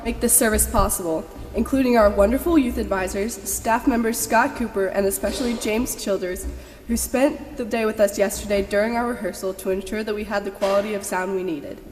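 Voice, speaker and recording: A woman's voice: mid-range voice, steady tone, fairly quick, a little mellow. A young woman making a prepared announcement to a church congregation. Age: young adult. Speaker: youth service song leader. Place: large church sanctuary. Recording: hall reverb, noisy room.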